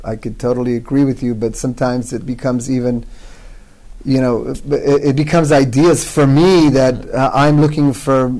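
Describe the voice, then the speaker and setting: A man's voice: mid-range voice, steady tone, normal speed, darkish tone, rough recording. A man from Israel with an Israeli accent, a native Hebrew speaker, talking as an interview guest in English, hesitant, with many restarts. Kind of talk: conversational interview.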